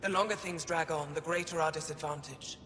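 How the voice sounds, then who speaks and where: An actor playing a weary, steadfast alien resistance commander in a video game, speaking in a measured, formal, earnest way. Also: male voice, on the high side, fairly even intonation, pushed and bright. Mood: formal, welcoming. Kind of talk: dramatic game dialogue. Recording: reverberant with background ambient noise.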